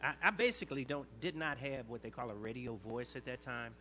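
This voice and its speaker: An older man, a longtime radio disc jockey, answering interview questions with a fairly light voice and quick, inflected phrasing. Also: medium pitch, pushed and bright, a little hiss or hum. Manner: inflects words, talks in quick bursts with restarts.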